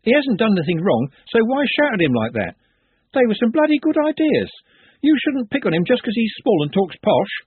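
A defensive young working-class lad, put on by a narrator, blunt and quick to stand up for a friend. His voice is husky and carries loudly. The sound is dry and phone-thin. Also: high-pitched, big pitch swings, no room sound, phone-quality sound, pitched above the performer's own voice, full character voice. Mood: indignant. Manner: blunt and indignant.

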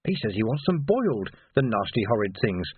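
A man's voice: lowish voice, sing-song, a little fast, husky, full character voice. Man voicing a flustered, grumbling gossip. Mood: flustered, indignant. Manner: chattering, indignant. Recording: narrow phone-like band.

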